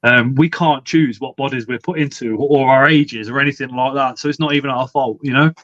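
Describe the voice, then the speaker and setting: A man's voice: mid-range voice, fairly even intonation, brisk, fairly bright tone, no room sound. Man, forties, chatty stream host, dry muffled sound. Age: 40s. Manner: chatty, swears casually, laughs often. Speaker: livestream host. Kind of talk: casual live chat, reading comments.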